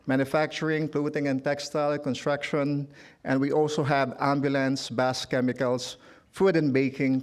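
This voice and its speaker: A middle-aged man from the Philippines with a Filipino accent, giving a formal speech as a union leader at a convention, measured, lifting his voice for quotes and punchlines. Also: on the high side. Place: large convention hall.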